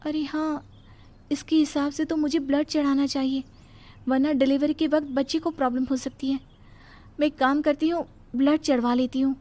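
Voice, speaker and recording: A woman's voice: high-pitched, little pitch movement, moderate pace, mellow, lightly acted. A woman voicing a headstrong, self-assured young nurse and daughter-in-law, confident and dismissive, in a cartoon story. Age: young adult. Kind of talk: cartoon moral story voice acting.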